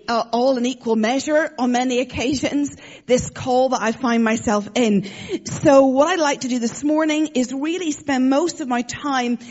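A woman's voice: on the high side, muffled recording. A woman with an Irish accent, a guest preacher giving a conversational sermon, chatty and joking with frequent fillers. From Ireland.

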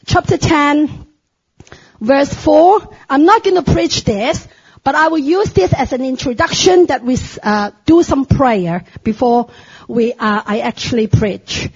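A woman preaching a sermon in halting English with a Chinese accent, often breaking into shouted praise and pausing on many fillers. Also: high voice, lively intonation, no echo, lo-fi sound.

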